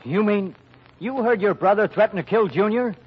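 A man's voice: sits high, animated, normal speed, breathy edge. An actor playing a breezy, slangy male newspaper reporter in an old radio drama style. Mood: brash. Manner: breezy, brash. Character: brash, slangy newspaper reporter chasing a story. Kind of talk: 1940s radio acting. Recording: dull lo-fi old radio recording.

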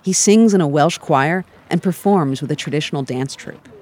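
An American woman giving scripted travel narration in a calm, reflective storytelling manner. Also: deep voice, moderate pace. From United States.

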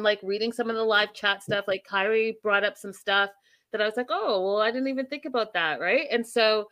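A woman's voice: mid-range voice, bright, forceful tone, dry recording. A woman hosting a casual live discussion, thinking aloud with many fillers and restarts. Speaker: host.

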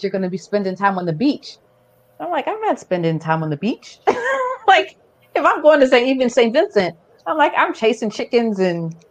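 A woman with an American accent and a medium-pitched voice hosts a conversational podcast. She talks informally and anecdotally, in a casual interviewing style. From born in Barbados, raised in the United States. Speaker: podcast host.

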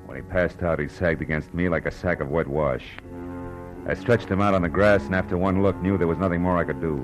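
An actor playing a tough, wry American private detective in 1940s radio style, clipped and wisecracking. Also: male voice, deep voice, brisk, close to an everyday voice, low-quality recording. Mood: terse narrating. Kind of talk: 1940s radio detective acting and first-person narration. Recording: old radio drama with music behind.

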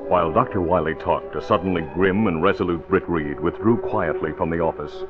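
A man's voice: low voice, brisk. A man announcing and narrating in 1940s radio style, with emphatic, dramatic delivery. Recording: dull vintage radio recording, faint music.

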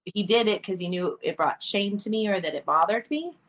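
A woman's voice: medium pitch, brisk, fairly bright tone, rough recording. A woman co-hosting a podcast, talking earnestly and breaking into laughter often. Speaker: podcast co-host. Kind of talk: candid conversational podcast talk.

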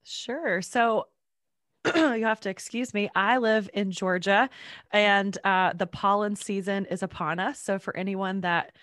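A woman in her thirties from North Carolina, a guest on a faith podcast, chatting casually while congested, her voice deeper than usual. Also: steady tone, measured pace, fairly bright tone, no echo, clean studio sound. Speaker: podcast guest, children's ministry worker. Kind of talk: casual interview chat.

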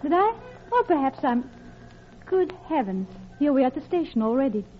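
An actress playing an anxious, guilt-ridden middle-class wife in a classic radio drama, with a polite, hesitant, confessional manner. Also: female voice, high-pitched, big pitch swings, normal speed, a little hiss or hum. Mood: polite, curious. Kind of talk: classic radio drama acting, with intimate narration. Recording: dull old radio recording with music.

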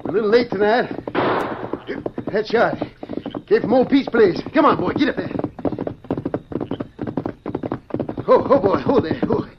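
An actor playing an earnest American cowboy in an old-time radio western, with a folksy way of talking. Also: male voice, sits high, expressive, moderate pace. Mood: urgent. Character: earnest cowboy husband, wrongly accused of killing. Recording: dull, noisy old radio recording.

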